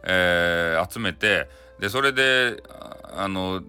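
A man's voice: low voice, takes it slowly, pushed and bright, clear, resonant tone. A man with a Hakata accent telling a folktale casually and playfully, chatty and full of spoken sound effects. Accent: Hakata Japanese. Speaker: storyteller, audio channel host.

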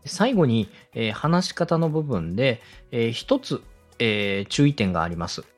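A man's voice: medium pitch, big pitch swings, a little slow, soft background music. A man hosting a show, politely giving step-by-step advice on presenting and slipping in example phrases. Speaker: radio director and podcast host giving advice.

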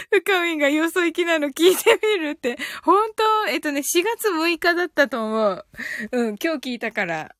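High-voiced woman chatting casually on a livestream. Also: lively intonation, moderate pace, no echo, studio quality. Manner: giggly, chatty, often ends phrases with soft filler particles. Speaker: live audio stream host. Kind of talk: casual livestream chat, reading out and replying to listener comments.

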